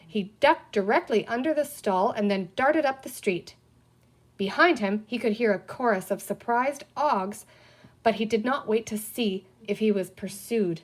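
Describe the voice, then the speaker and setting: Woman reading a children's story. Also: mid-range voice. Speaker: audiobook reader.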